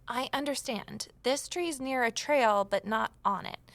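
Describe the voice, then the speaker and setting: A woman's voice: a little high, unhurried, vocal fry, a bit bright, no echo. A woman playing an earnest, observant office assistant turned amateur sleuth, narrating and speaking in an audio drama. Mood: polite, persistent. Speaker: narrator and lead character. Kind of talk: first-person audio drama narration and dialogue.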